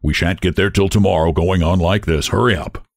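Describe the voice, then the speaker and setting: A man's voice: deep voice, fairly quick, no echo, clean studio sound. Man playing a curt, lordly officer. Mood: impatient. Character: curt, lordly male army officer riding as passenger.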